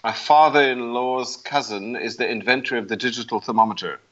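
A man's voice: mid-range voice, brisk, a bit bright. A middle-aged man from South Africa telling his story as an interview guest in a chatty, self-deprecating way. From South Africa, after a childhood moving between countries. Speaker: interview guest, medical company CEO. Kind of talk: conversational storytelling. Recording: slightly dull, lo-fi connection.

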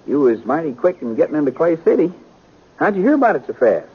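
An actor playing a garrulous small-town blacksmith in a 1950s radio western, with an excitable, folksy rural American manner and a husky voice. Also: male voice, a little high, quick pace, a little hiss or hum. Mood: gossipy. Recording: muffled 1950s radio recording.

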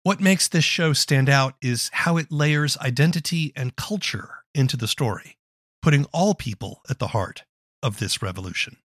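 A man's voice: medium pitch, animated, normal speed, a bit bright, dry recording, clean studio sound. A man hosting a podcast, delivering questions and scripted narration in a presenter's manner. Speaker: podcast host. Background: music.

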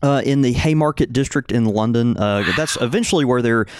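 A man's voice: mid-range voice, brisk, smooth, no echo, studio quality. A man co-hosting a casual podcast, chatting in a wry, easygoing way. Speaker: podcast co-host.

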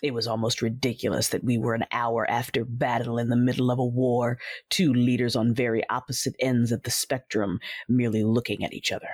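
A woman narrating and voicing a cold, proud female Sith warrior and mother in an audio drama, in a deliberate, measured way. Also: extremely low pitch, flat delivery, a little mellow, breathy edge, no echo, studio quality.

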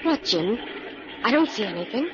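A woman's voice: on the high side, very animated, normal speed. An actress playing the earnest, inquisitive female partner of a detective hero in an old-time radio drama. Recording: very noisy, dull vintage radio recording.

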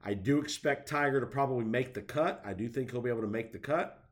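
A man with a stuffy head cold giving casual, conversational golf commentary and predictions, with lots of fillers. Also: mid-range voice. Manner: conversational, many fillers, drawn-out emphasis.

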